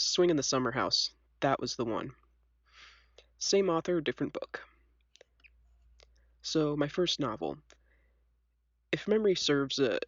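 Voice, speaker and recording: Scratchy, congested low-voiced young woman chatting. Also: no echo, lo-fi sound. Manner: self-deprecating, informal. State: sick with a cold, sniffly. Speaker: video host. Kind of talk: casual chat, storytelling.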